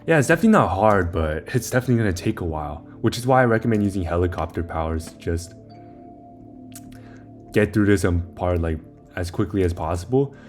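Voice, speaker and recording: A man's voice: sits low, lively intonation, brisk, soft background music. A young man giving a casual, informal game walkthrough, rambling a little as he talks through each step while playing. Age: young adult. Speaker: video maker giving game guide.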